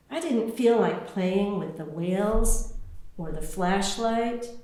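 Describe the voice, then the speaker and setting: The voice is a woman's, reading a picture book aloud. She goes slowly and deliberately, with a wide, lilting range that shapes each phrase for young listeners. The room around her rings with heavy echo. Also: mid-range voice. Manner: slow, deliberate, expressive phrasing for children. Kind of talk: scripted storybook narration. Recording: very echoey, roomy sound.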